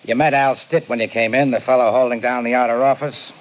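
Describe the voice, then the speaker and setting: A man's voice: mid-range voice, steady tone, brisk, lightly acted. A man playing a cocky, shady amusement park boss in old-time radio crime drama, smug and challenging. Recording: dull vintage radio recording with noise.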